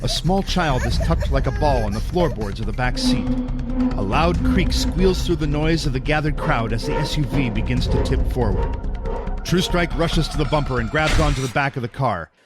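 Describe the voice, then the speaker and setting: A man reads dramatic narration for an audio drama. His mid-pitched voice moves expressively and builds suspense. Music plays clearly under the words. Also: animated, normal speed.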